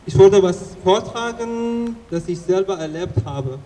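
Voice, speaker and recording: Casting: male poet from Afghanistan, slightly high but mellow voice, careful halting recital. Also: animated. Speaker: poet reciting own poem. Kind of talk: poetry recitation. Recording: long echoing reverb.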